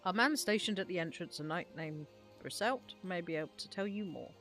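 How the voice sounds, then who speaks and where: A woman's voice: fairly low pitch, lively intonation, brisk, a bit bright, soft background music. Woman reading as a courteous fantasy game nobleman. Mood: courteous, formal. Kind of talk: reading game dialogue aloud.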